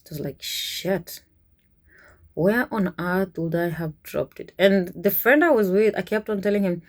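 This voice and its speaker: A Kenyan-accented woman recounts a story in relaxed vlog fashion, her voice sitting at an ordinary middle pitch. She talks conversationally and animatedly, with frequent chuckles. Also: a little slow. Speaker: vlogger, cruise ship worker. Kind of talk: casual storytelling to camera.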